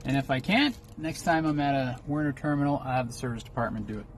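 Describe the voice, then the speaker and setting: Male vlogger, trucker type: casual, rambling, folksy explainer, mid-pitched, with vehicle ambience behind him. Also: fast. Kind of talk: casual unboxing vlog chat. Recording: clear background noise, vehicle ambience.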